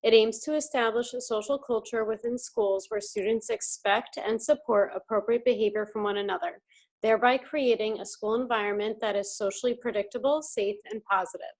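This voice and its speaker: A woman from New Jersey, an instructor and life coach, giving a clear, formal overview in a prepared presentation. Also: a little high, fairly quick. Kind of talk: reading a prepared class presentation.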